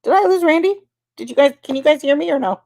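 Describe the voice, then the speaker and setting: A woman's voice: sits high, animated, quick pace, gravelly, a little mellow, no room sound, clean studio sound. A woman co-hosting a livestream, talking in a sarcastic, profane, squeamish way. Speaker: livestream co-host. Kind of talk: casual livestream banter.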